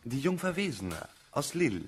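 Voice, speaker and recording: An actor in a German radio drama playing a sly, taunting officer with false courtesy. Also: male voice, on the high side, sing-song, a little fast, slight background noise. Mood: sly, needling.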